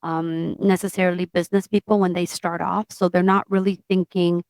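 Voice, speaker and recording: A woman in her forties, a tech founder from Portugal, telling her story in an interview in a steady, matter-of-fact way. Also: lowish voice, normal speed, no room sound. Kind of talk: interview conversation.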